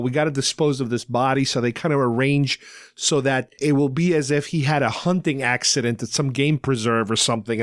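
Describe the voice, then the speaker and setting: A man's voice: medium pitch, no room sound, studio quality. A man hosting a podcast, casually recapping a story in an explanatory, rambling way. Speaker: podcast host. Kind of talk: casual talk recapping a radio drama.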